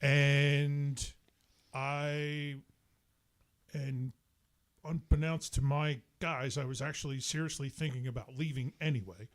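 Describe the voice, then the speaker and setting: A man guesting on a podcast, a bass player and singer, telling stories in casual chat with a slow, halting delivery full of restarts. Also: fairly even intonation.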